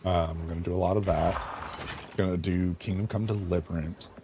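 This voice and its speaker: A man streaming a game and chatting casually, his voice rough, crackly and hoarse, rambling and changing his mind mid-sentence. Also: low-pitched, fairly quick. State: hoarse, sore throat. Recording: steady background noise.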